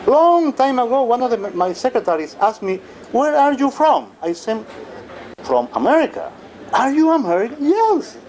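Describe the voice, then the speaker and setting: Passionate man with a high lively voice. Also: normal speed, slight background noise. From Chile. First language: Spanish. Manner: passionate, emphatic, rhetorical. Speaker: panelist, poet. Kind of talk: forum discussion.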